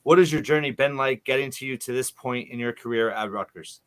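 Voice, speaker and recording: A man hosting a sports podcast, asking questions in a conversational, measured and somewhat halting way. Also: mid-range voice, fairly bright tone, smooth. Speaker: podcast host.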